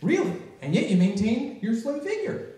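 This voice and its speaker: An older man playing a gruff, sardonic, exasperated army drill sergeant in a live radio-style comedy skit. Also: extremely high pitch, very animated, moderate pace, mellow. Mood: barking, incredulous. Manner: barking, sardonic. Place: library room, live performance, indoors. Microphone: stand microphone, distant camera. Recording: echoey room, far mic, audience noise.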